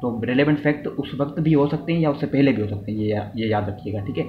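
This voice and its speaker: A man's voice: mid-range voice, fast talker, slight background noise. A man teaching a law lesson, mixing English legal terms into his Hindi-Urdu and often checking in with "theek hai". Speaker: law lecturer. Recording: echoey room, slightly dull lo-fi sound.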